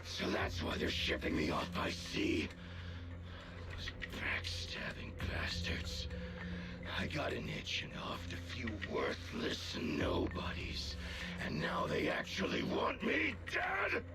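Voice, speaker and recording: An actor playing a brutal, paranoid criminal enforcer in a video game, menacing and seething with a gravelly voice. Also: male voice, lowish voice, wildly swooping pitch, heavy background noise, exaggerated voice. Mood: enraged. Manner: menacing, seething, slow muttering. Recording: loud music under the voice. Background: loud music.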